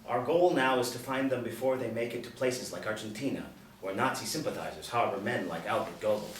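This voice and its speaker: A man's voice: on the low side, fairly quick, natural voice. A man reading the part of a resolute, matter-of-fact military lieutenant at a script table reading. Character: resolute male military lieutenant hunting old Nazis. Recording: roomy, distant mic, light room noise.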